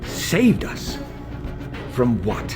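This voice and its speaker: An actor playing a bitter, brooding undead vampire wraith in a video game, speaking in formal, scornful, archaic phrases. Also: male voice, medium pitch, wildly swooping pitch, very slow, over music, exaggerated voice. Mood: bitter, accusing. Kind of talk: dramatic video game acting.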